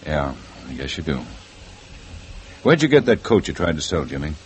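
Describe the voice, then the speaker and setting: A calm, deep-voiced police detective. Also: male voice, moderate pace. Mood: probing. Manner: calm, probing, firm. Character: calm male police detective, steady questioner. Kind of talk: 1950s radio drama acting. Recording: old radio recording with faint hiss.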